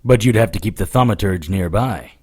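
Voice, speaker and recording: A man's voice: fairly low pitch, fairly quick, a little mellow, husky. A man playing a wry, defiant supernatural investigator who narrates his case in an audio drama, dry and firm. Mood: probing. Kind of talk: first-person detective audio drama.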